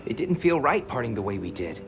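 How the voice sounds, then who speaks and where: A performer playing a gentle, hospitable villager in a video game, explaining earnestly with music behind. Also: mid-range voice, sing-song, brisk, close to an everyday voice. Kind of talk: video game cutscene acting.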